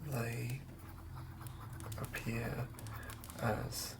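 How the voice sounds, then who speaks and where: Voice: medium pitch, completely flat delivery, very slow, vocal fry, heavy background noise. An ASMR host whispers up close, murmuring and thinking aloud in fragments while planning out a tabletop game. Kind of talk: whispered ASMR, improvised villain-building ramble.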